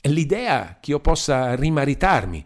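A man reading aloud and voicing a lively, chattering young widow with a bright, teasing manner. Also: fairly high pitch, measured pace, pitched above the performer's own voice. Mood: coy.

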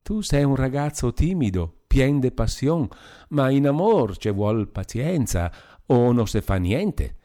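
A man's voice: mid-range voice, sing-song, takes it slowly, warm tone, voice pushed up. A man voicing a flirtatious female medium with a Veronese accent, speaking in a mystical, coaxing singsong. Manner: sing-song, mystical, coaxing. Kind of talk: audiobook character voice.

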